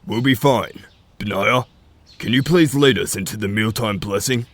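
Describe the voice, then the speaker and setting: Low-voiced, reassuring pack leader played by a man. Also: moderate pace, no room sound, natural voice. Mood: composed, announcing. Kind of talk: scripted amateur audio drama acting.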